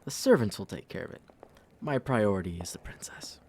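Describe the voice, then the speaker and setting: A man's voice: fairly low pitch, lively intonation, fairly quick, vocal fry, a little mellow, slightly rough, in a whisper, heavily acted. A man playing a pompous, entitled young prince in a fantasy audio drama, haughty and condescending. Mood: smug. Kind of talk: fantasy audio roleplay acting.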